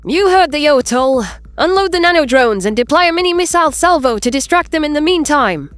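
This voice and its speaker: A man voicing a brisk, decisive female starship captain who gives orders with authority in a science fiction audiobook. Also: very high-pitched, expressive, a bit bright, no echo, pitched above the performer's own voice. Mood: commanding.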